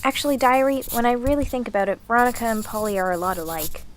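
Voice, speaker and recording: A woman's voice: on the high side, fast, slight background noise, close to an everyday voice. A woman playing an earnest, insecure girl of twelve confiding in her diary in a radio drama. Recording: music under the voice.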